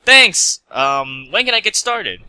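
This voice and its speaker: A man's voice: high voice, big pitch swings, takes it slowly, pushed and bright, clear, resonant tone, dry recording, pitched above the performer's own voice, full character voice. A man playing a polite, slightly awkward stranded mechanic in a story reading, with a hesitant manner. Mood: excited.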